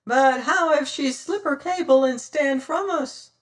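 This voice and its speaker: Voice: high voice, measured pace, pitched above the performer's own voice. A reader voicing a great fat male pirate who pipes and wheedles. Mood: wheedling. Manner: piping, wheedling.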